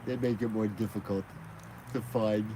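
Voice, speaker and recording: A man's voice: lowish voice, steady tone, normal speed, background noise. A man chatting casually and rambling on a live stream, outdoors at night beside a fire, filming on a phone mounted on a gimbal. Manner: rambling, chatty, laughs easily.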